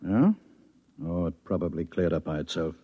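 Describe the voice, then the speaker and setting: A man playing a steady bureau chief giving a briefing, in 1950s radio drama style. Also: deep voice, normal speed, slightly rough. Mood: firm, familiar. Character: steady male bureau chief briefing his trusted investigator. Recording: muffled vintage 1950s radio recording.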